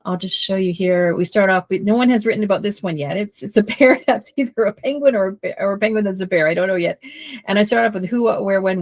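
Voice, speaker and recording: A woman tutor on a podcast, explaining a writing activity as she goes. She is losing her voice and sounds nervous, laughing often between points. Her voice sits at a middle pitch. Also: a little mellow.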